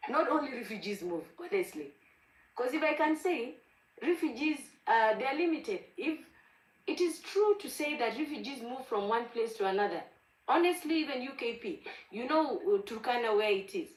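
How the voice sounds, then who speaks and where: A woman's voice: on the high side, expressive, normal speed, echoey room, low-quality recording. A woman from Ethiopia with a Kenyan accent, arguing insistently in a heated online debate. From Gambela, Ethiopia. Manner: passionate, insistent, repeats honestly. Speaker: panel participant.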